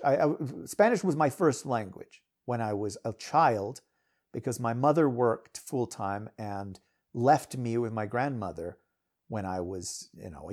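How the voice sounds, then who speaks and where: A middle-aged American man, a podcast host and writer, talking alone in a rambling, candid way, his loudness rising and falling a lot. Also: on the low side. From United States. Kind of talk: casual solo talk.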